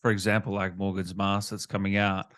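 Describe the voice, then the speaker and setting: A man's voice: low voice, little pitch movement, brisk, a bit bright. A man interviewing a guest as a gaming show host, in a casual, conversational style.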